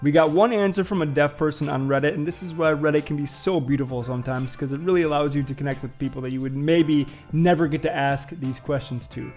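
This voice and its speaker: A man's voice: fairly high pitch, quick pace, few pauses, darkish tone, soft background music. A man hosting a casual vlog, musing and thinking aloud to viewers with frequent fillers and hesitations. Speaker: vlogger host. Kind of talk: casual reflective vlog talk.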